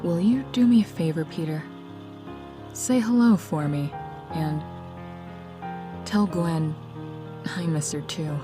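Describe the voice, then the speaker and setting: Woman acting as caring young wife, lowish female voice, slow and gentle, comic dub, music behind. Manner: gentle, unhurried. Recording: music under the voice.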